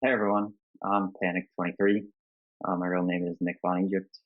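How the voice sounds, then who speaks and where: Male gaming guest from New York State, deep voice, casual explanations, dry lo-fi call. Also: level tone, brisk, dry recording. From New York State, United States. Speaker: tournament player, panel guest. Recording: dull, lo-fi voice call.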